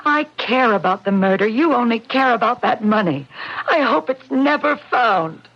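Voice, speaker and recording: A sharp-tongued young American woman in a radio mystery. Her voice is fairly high and very animated in pitch, defiant and bitter, heard through a dull old recording. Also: moderate pace. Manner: sharp, sardonic. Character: bitter, defiant grown daughter of a murdered mother. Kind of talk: radio mystery drama acting.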